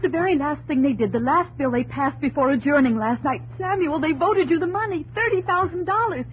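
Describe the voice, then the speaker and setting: An eager, earnest young woman in a 1950s radio play. Her voice is high and swings freely in pitch, full of affection. The old broadcast has a slight hum. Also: expressive, a little fast, low-quality recording. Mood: excited, joyful. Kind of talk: 1950s radio drama acting.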